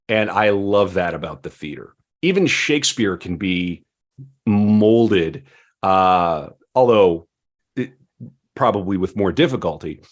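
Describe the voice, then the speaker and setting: A man with a low-pitched voice hosts a podcast. He phrases things deliberately and emphatically, moving between conversation and reading notes aloud. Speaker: podcast host.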